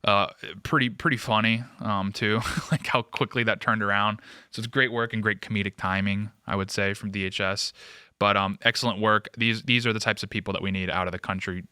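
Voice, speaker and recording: A man gives off-the-cuff political commentary with a mocking, sarcastic edge. His voice sits a little low but is bright and forceful, with a clean, smooth tone. He speaks casually, like a host riffing on the news. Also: steady tone, fairly quick, no room sound, studio quality.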